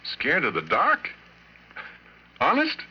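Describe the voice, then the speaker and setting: An actor playing an easygoing oil-rig roughneck telling a horror tale in folksy, colloquial 1940s radio drama style, with a gruff voice. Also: male voice, on the high side, wildly swooping pitch, slow, heavily acted. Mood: surprised, dismissive. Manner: drawling, colloquial, conversational storytelling. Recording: muffled, noisy old radio recording.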